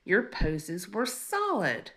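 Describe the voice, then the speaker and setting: A woman's voice: medium pitch, huge pitch swings, slow pace, a bit bright, heavily acted. A woman voicing a bossy female giraffe in a children's storybook. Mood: apologetic. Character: bossy, complaining female giraffe yoga student. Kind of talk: children's storybook read-aloud.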